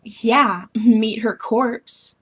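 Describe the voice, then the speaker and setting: A woman voicing a moody, self-pitying young alien woman in scripted dialogue, blunt, slangy and excitable. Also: a little high, drawn-out words, husky, full character voice. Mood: wistful, envious.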